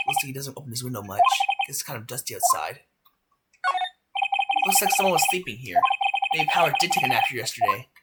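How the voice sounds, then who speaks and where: A woman voicing a dry, sceptical young male lawyer in a game, deadpan and wry. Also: a bass voice, very noisy, pitched below the performer's own voice. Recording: loud music over the voice.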